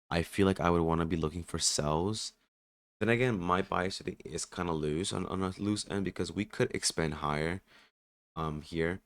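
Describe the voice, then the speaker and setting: A man who is a bit sick and raspy, casually thinking aloud through a trading session with many fillers and restarts. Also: very deep voice, dry recording. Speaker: day trader streaming his session. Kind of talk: casual market commentary.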